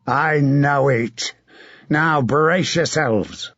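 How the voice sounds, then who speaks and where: Man playing a gruff veteran knight, profane and rousing, slow husky delivery. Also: on the high side, fairly bright tone, no room sound, lo-fi sound, heavily acted.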